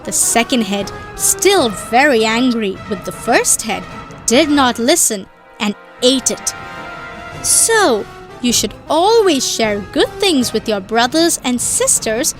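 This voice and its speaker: A woman's voice: on the high side, sing-song, music in the background, poor audio. A woman playing a patient, instructive mother who tells moral stories to children in a cartoon. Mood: storytelling. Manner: deliberate, instructive. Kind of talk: scripted children's storytelling.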